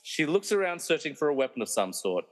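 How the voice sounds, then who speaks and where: Theatrical comedy narrator played by a man, mid-pitched and very animated, dry mocking asides, long hall reverb. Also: quick pace. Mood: suspenseful. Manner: wry, theatrical. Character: wry, meddling movie narrator who mocks the characters. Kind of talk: dramatic scripted narration with comic asides.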